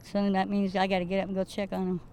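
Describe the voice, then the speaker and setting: A woman's voice: mid-range voice, completely flat delivery, racing, dark tone, slight background noise. A woman from California speaking plainly and matter-of-factly in an interview. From Ventura, California. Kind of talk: interview testimony.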